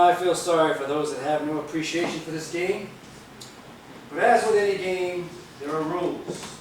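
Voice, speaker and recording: A very reverberant, far-off stage recording of a smug corporate tyrant acted by a man. His voice sits high. He lectures pompously, pausing with menace as if every word were a verdict. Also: natural voice. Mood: condescending, smug. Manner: pompous, lecturing, deliberate pauses. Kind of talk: theatrical stage acting. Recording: distant, echoey theater videotape with noise.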